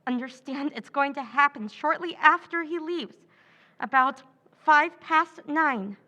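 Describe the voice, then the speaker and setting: A woman's voice: sits high, a little slow. A woman playing a cold, scheming plotter. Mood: hushed, plotting. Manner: clipped orders, hushed plotting. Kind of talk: 1940s radio drama acting.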